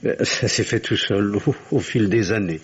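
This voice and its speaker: An actor in an old radio play, playing a weary, fatherly, middle-aged country doctor with a husky voice and a hesitant manner. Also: lowish voice, moderate pace, slight background noise, close to an everyday voice. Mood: modest, kindly. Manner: hesitant, paternal. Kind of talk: old French radio drama acting. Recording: dull, lo-fi old radio recording.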